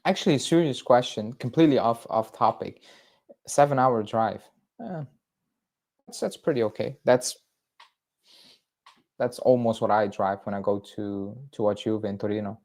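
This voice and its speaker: A man's voice: on the low side, normal speed, pauses often, a little mellow. A young man from Belgium hosting a casual fan livestream, rambling through viewer chat with fillers and restarts. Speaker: football fan channel host.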